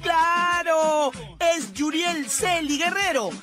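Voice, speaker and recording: A woman's voice: very high-pitched, slow pace. A woman with a Peruvian Spanish accent narrating a gossip segment in a sarcastic, teasing voice-over. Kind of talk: mocking scripted voice-over narration. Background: loud reggaeton music.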